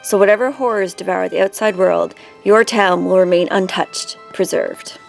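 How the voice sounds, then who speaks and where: A woman's voice: mid-range voice, moderate pace, creaky, faint music behind, natural voice, low-quality recording. A woman playing a sly, coaxing witch who bargains unhurriedly in an audio drama. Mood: assuring.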